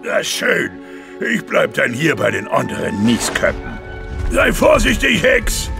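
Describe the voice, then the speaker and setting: Stubborn sick Viking father, slow delivery, loud music behind, cartoon drama. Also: fairly high pitch, huge pitch swings, creaky, exaggerated voice. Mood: grudging. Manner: blustering, stubborn. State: sick, coughing and sneezy. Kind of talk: animated audio drama acting.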